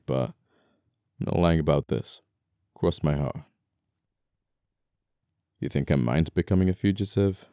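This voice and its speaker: Casting: male actor for scoundrel murderer in ASMR roleplay, very deep and smooth, politely menacing, apologetic, flirtatious, quaint wording. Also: no room sound, studio quality, exaggerated voice. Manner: soft-spoken politeness, condescending, old-fashioned phrasing. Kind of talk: intimate ASMR audio roleplay acting.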